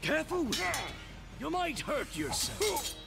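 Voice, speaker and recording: Super high cocky knight from a fantasy game, mocking and boastful, loud music behind. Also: big pitch swings, measured pace, creaky, heavily acted. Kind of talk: video game combat barks.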